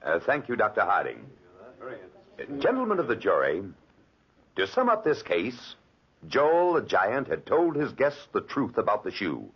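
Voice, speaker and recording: An actor playing an earnest, formal defense lawyer with solemn oratory in a 1940s radio drama. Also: male voice, lowish voice, huge pitch swings, a little slow, slightly rough, exaggerated voice. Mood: earnest pleading. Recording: muffled old 1940s radio recording.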